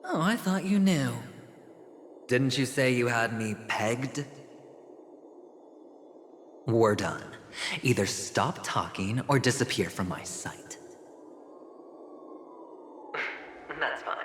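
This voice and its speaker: A man's voice: medium pitch, lively intonation, takes it slowly, long pauses, fairly bright tone, heavy reverb, in a large hall, slight background noise. An actor playing a smug young male gambler in a video game cutscene, taunting in a mocking, teasing drawl. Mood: cold.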